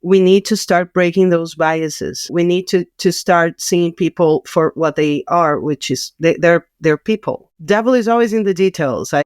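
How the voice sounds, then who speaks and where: A woman's voice: fairly low pitch, normal speed, few pauses, a little mellow, clear, resonant tone, no echo, studio quality. A woman from South America whose first language is Spanish, speaking English with a South American Spanish accent as a podcast guest. She talks earnestly, with many restarts and repeated words. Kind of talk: casual podcast conversation.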